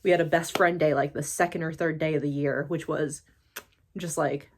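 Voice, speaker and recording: A woman at the edge of thirty talks straight to her camera in a loose, rambling way, full of fillers and little laughs at herself. Her voice sits a little low for a woman. She is from the United States and sounds like she is chatting with a friend. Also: fairly even intonation, normal speed, slight background noise. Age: late 20s. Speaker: YouTuber. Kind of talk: casual vlog chat.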